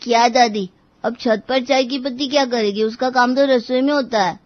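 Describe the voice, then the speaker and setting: A whiny cartoon boy, played by a woman, grumbling and protesting. The voice is very high, recorded dry and a little dull. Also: fairly even intonation, normal speed, dry recording, natural voice. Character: whiny, reluctant young boys sent on errands. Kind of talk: animated moral story dialogue.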